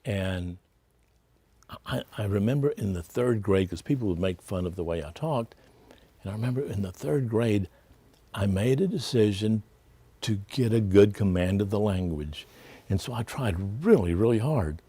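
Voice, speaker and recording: Older anchorman, Spanish native, mellow low voice. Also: expressive, moderate pace, slightly rough, no room sound. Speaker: news anchor. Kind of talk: casual interview talk.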